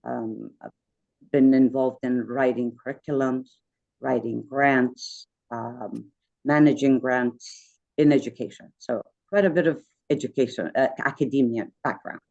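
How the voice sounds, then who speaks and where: A woman with a dark, mellow and gravelly voice introduces herself over a video call. The line sounds muffled and dull. She talks in a friendly way, drifts from point to point and keeps correcting herself. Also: extremely low pitch, steady tone, unhurried. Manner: friendly, rambling, self-correcting. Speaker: architect and educator. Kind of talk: self-introduction in an online meeting.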